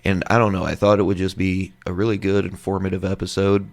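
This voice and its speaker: A man hosts a laid-back podcast conversation in a worn, hoarse voice that is losing its strength. His voice sits very low with a gravelly fry and stays flat in pitch, and he keeps his turns brief. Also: fast talker. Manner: low-key, brief, laughs along. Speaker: podcast host. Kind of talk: casual true crime podcast chat.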